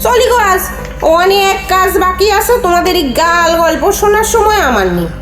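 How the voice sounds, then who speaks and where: A high, swooping female voice of an actress as a cheeky, gossipy housemaid in a comedy play. She teases her employers theatrically and colloquially. The recording is roomy, with faint music. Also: expressive, moderate pace. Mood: indignant, teasing. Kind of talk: Bengali audio comedy play acting.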